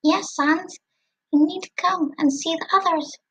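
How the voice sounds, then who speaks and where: A woman voicing a proud, excitable younger skeleton brother with a theatrical, pompous manner. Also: very high-pitched, unhurried, pitched above the performer's own voice, full character voice, low-quality recording. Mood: eager.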